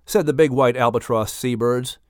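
A woman narrating a children's audiobook with expressive, scripted storytelling. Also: a bass voice, normal speed, dry recording, studio quality.

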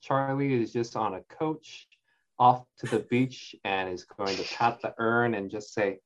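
Male player, slow and animated, muffled lo-fi mic with faint noise. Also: mid-range voice. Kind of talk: playing a tabletop game.

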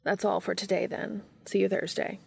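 A woman voicing a composed, earnest, kind male college professor with a measured, instructive manner in a story reading. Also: mid-range voice, little pitch movement, vocal fry, husky, dry recording, full character voice.

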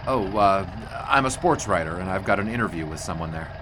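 An actor playing a polite, earnest 1920s sports writer with an American accent, speaking in a measured, formal way as in a dramatized memoir. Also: male voice, low-pitched, expressive, noisy background. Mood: polite, reserved. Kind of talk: dramatized scripted narration.

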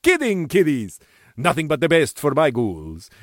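Spooky horror DJ, high voice, swooping delivery, theatrical, teasing, radio show. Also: male voice, wildly swooping pitch, normal speed, no room sound, studio quality. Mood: campy, gleeful. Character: campy spooky male horror DJ, gleefully ghoulish. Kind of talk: campy horror-host radio patter.

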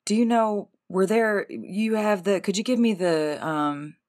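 A woman voicing a concerned, hesitant character, polite and halting, in improvised tabletop roleplay. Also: mid-range voice, darkish tone, voice pushed up, natural voice. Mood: hesitant, determined.

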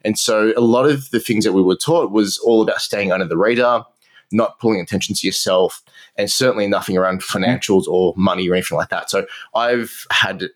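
A man's voice: lowish voice, brisk. A man in his thirties with an Australian accent, a podcast guest telling his story in a candid, self-deprecating way and laughing often. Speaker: guest, marketing entrepreneur. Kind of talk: casual podcast interview.

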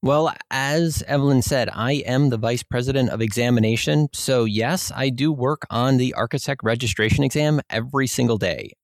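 A man's voice: medium pitch, moderate pace, hardly any pauses, dry recording. A man with a Minnesota accent, an exam executive explaining his work in an easygoing way as a podcast guest. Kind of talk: podcast interview.

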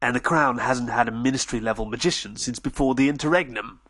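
A man voicing a proud, self-assured male werewolf gentleman who lectures smugly. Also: medium pitch, brisk, breathy edge.

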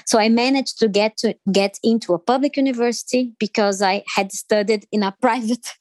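A woman from Brazil with a Brazilian Portuguese accent, answering interview questions in English with many pauses, fillers and restarts. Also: a little high, steady tone, darkish tone, no echo. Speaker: interview guest.

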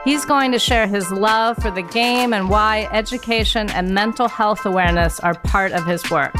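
A woman's voice: medium pitch, moderate pace, music in the background. A woman hosting a podcast, giving a polished scripted introduction and handing off to her co-host. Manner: polished, announcer-like delivery.

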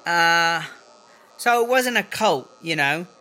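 An actor playing a cocky, rambling, foul-mouthed prison inmate in a comedy mock interview, full of British-style slang. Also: male voice, on the high side, expressive, slow, pushed and bright, heavily acted. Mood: gossipy.

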